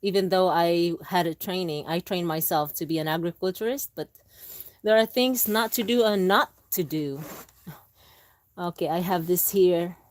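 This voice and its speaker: A woman hosting a casual live gardening stream, talking in a halting, chatty way with many restarts. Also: on the low side, no echo. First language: Filipino language. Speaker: gardening channel host. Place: indoors at home.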